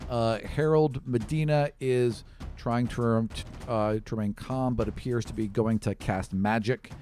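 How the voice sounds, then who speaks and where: A man's voice: mid-range voice, steady tone, measured pace, mellow, slight background noise. A man narrating a tabletop role-playing game as game master, describing scenes in an improvised, conversational way. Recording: music playing behind the voice. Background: background music.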